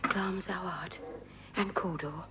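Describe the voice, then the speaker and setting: A woman playing a ruthless, ambitious noblewoman in a theatrical Shakespearean soliloquy, moving between breathy whispers and intense declamation. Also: lowish voice, fairly even intonation, a little slow, bright, forceful tone, a little hiss or hum. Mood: scheming, hushed.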